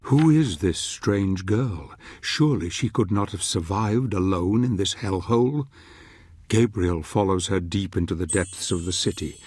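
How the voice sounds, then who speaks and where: An actor playing a solemn, brooding older narrator of a dark fantasy game, speaking in a measured, theatrical way. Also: male voice, deep voice, lively intonation, breathy edge, full character voice. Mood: pondering, suspicious. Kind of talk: scripted dramatic storytelling narration.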